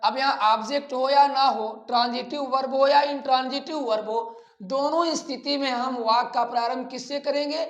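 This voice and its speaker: A man with an Indian accent teaching a grammar lesson in a didactic way, repeating phrases deliberately. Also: very high voice, steady tone, fairly bright tone, lo-fi sound. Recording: echoey room sound.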